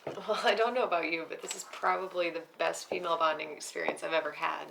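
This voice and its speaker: A woman's voice: fairly low pitch, fairly even intonation, quick pace, creaky. A woman playing a brash, foul-mouthed, thrill-seeking friend in a screenplay table read, with casual, sassy banter. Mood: excited, sassy. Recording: faint background noise.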